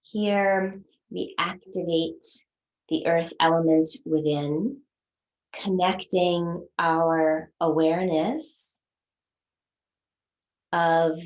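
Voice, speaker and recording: A woman with a low-pitched voice leads a guided meditation in a roomy, echoing space. She speaks in short, soothing phrases as a yoga teacher would, leaving long pauses for the listener to follow. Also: a little mellow.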